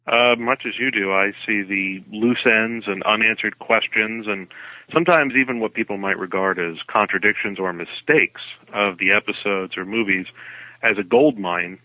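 A man answering interview questions as a guest author over a remote call, explaining things in articulate, measured phrases. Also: on the low side, no room sound. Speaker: novelist guest. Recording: phone-like narrow band, dry.

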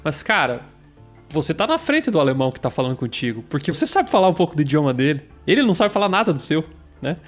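A man co-hosting a podcast, relaxed and chatty. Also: fairly high pitch, quick pace, faint music behind. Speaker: podcast co-host. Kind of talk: casual podcast chat.

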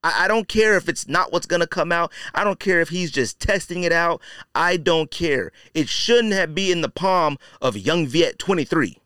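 A man's voice: fairly high pitch, animated, normal speed, pushed and bright, clean tone, dry recording, studio quality. A man hosting a podcast, giving casual opinion commentary in colloquial, slangy language and repeating phrases for emphasis. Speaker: podcast host.

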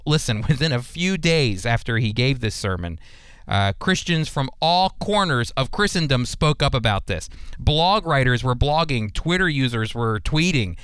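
An American man teaches the Bible as a radio host. His voice is clear and smooth, recorded close and dry in a studio. He speaks conversationally but earnestly, leaning on key words like a preacher. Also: medium pitch, a bit bright, no room sound. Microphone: close studio microphone.

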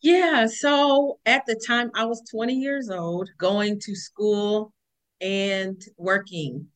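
A woman from Houston, Texas, a podcast guest calling in remotely, telling a personal story in an animated way and acting out what people said. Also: mid-range voice, takes it slowly. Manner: animated storyteller, acts out quotes.

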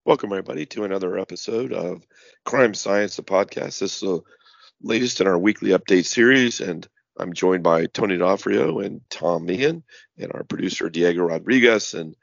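Hoarse low-voiced man hosting a podcast. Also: brisk, no room sound, muffled recording. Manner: rambling with many fillers and restarts. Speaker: podcast host. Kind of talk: casual podcast monologue.

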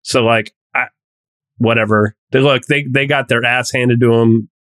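A man's voice: medium pitch, steady tone, moderate pace, a bit bright, no room sound. A man guesting on a casual panel podcast as a startup founder, blunt and emphatic, swearing freely.

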